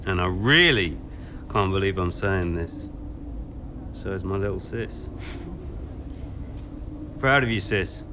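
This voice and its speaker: An actor playing an earnest, driven racing team boss in a naturalistic game cutscene, informal and emphatic, sometimes halting. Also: male voice, sits low, lively intonation, noisy background. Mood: proud, wistful.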